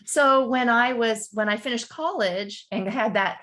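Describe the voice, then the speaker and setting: Animated storytelling woman in her fifties. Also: a little high, measured pace. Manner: chatty, animated, talks to listeners as folks. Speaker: guest, real estate broker. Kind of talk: casual storytelling interview.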